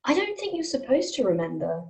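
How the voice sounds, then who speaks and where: A woman reading the part of a calm, matter-of-fact guide to the afterlife in her late thirties, at a script table read. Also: high-pitched, a little fast, creaky, exaggerated voice. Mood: reassuring.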